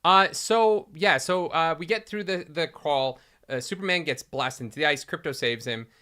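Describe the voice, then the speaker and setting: A man co-hosts a relaxed spoiler chat from a home setup. His voice is bright and forceful, and he talks in an animated, joking way. He reads viewer questions aloud and riffs on them. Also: fairly high pitch, moderate pace, smooth. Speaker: podcast co-host.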